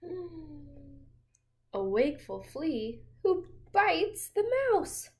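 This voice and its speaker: A woman in her twenties reading a picture book aloud to young children in a gentle, expressive teacher's manner. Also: high-pitched. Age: 20s. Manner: slow, gentle, expressive, addressing young listeners with questions. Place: small home room with windows, indoors.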